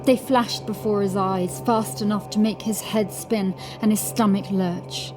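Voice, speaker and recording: A woman reading scripted horror fiction as narrator, in a dramatic, measured storytelling manner. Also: medium pitch, fairly even intonation. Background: music.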